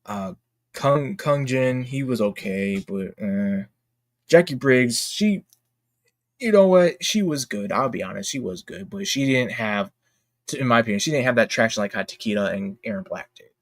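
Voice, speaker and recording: A man's voice: mid-range voice, lively intonation, moderate pace, no room sound, studio quality. A man hosting a casual livestream, chatting informally and emphatically with strong opinions and slang like dude and bro. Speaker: livestream host. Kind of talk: casual livestream chat about games.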